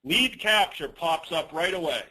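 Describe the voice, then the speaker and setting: A man presenting a business training call as an informal, rambling software demo, with teasing, self-deprecating asides. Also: fairly high pitch, animated, a little slow, rough recording.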